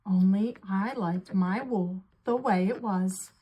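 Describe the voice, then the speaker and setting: A woman voicing an ordinary, self-deprecating, fretful sheep in a children's storybook, with a wry, drawn-out delivery. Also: mid-range voice, mellow, husky, pitched below the performer's own voice. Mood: anxious, wry.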